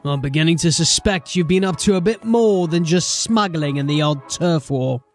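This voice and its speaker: An actor playing a wary, sardonic city police sergeant in a fantasy audio drama, dry and guarded in manner. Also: male voice, fairly high pitch, normal speed, faint music behind, natural voice.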